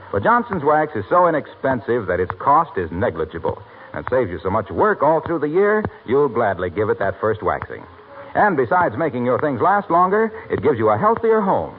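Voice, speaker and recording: Lively American radio announcer, vintage broadcast. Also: male voice, medium pitch. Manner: polished, emphatic salesman delivery. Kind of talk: 1940s radio commercial and announcing. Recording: narrow, slightly noisy old radio broadcast.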